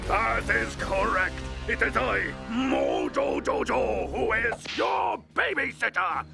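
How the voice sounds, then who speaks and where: An actor voicing a pompous, long-winded male chimp villain in a cartoon, given to shouting and repeating himself. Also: high voice, wildly swooping pitch, takes it slowly, gravelly, music in the background, full character voice. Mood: commanding. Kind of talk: cartoon voice acting.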